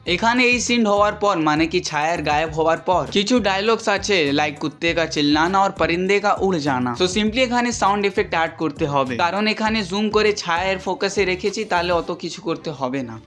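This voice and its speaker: A man's voice: high voice, lively intonation, normal speed, hardly any pauses, fairly bright tone, soft background music. A man narrating a step-by-step screen tutorial, mixing English words into his explanations. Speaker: animation tutorial instructor.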